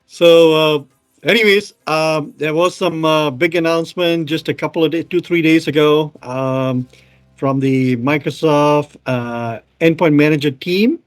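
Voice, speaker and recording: A man's voice: on the high side, measured pace, no echo. A man from Malaysia co-hosting a casual tech show, talking loosely with many fillers while demoing his screen over a video call. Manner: chatty, many fillers, laughs easily.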